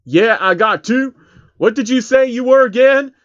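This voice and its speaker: A man's voice: extremely high pitch, moderate pace, a bit bright, muffled recording, voice pushed up. A man voicing a sarcastic, menacing, foul-mouthed male rapper with a sneering manner in a story reading.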